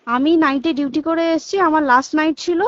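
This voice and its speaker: A woman's voice: sits high, brisk, no room sound. A young woman with a West Bengal accent talking casually on a recorded phone call, explaining things emphatically and checking that the listener follows. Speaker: hospital staff member. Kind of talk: casual phone conversation recounting events.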